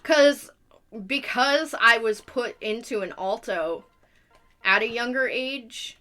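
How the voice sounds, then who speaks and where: Young woman streamer, higher bright voice, rambly explaining stuff, super casual. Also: expressive, takes it slowly, soft background music. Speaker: live streamer chatting during gameplay. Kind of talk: casual chat.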